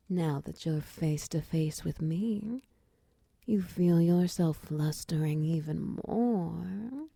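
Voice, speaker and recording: Woman playing a low, creaky-voiced female minotaur wizard. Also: mellow, dry recording, studio quality, exaggerated voice. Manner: intimate, teasing, commanding, with pet names. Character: hulking muscular female minotaur wizard, bossy, teasing potion-shop owner. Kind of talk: roleplay ASMR audio drama.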